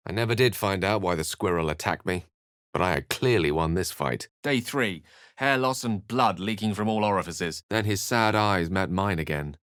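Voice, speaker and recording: An actor playing an eloquent, wry wizard companion in a fantasy video game, with articulate, theatrical phrasing. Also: male voice, low-pitched, moderate pace, fairly bright tone, clean tone, no room sound, clean studio sound. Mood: grave narration. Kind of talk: fantasy game dialogue and narration.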